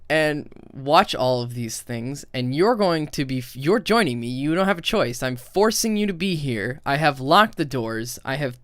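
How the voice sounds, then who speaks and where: A man's voice: fairly high pitch, a bit bright, smooth, dry recording. Blunt, dry-sounding male streamer. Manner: blunt, sardonic, sweary. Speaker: streamer and critic. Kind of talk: casual opinionated stream commentary.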